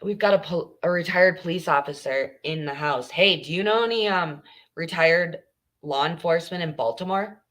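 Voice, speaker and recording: Low-voiced earnest woman hosting a livestream. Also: normal speed, a bit bright. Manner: earnest, chatty, with many fillers. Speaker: true crime podcast host. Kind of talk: casual livestream talk.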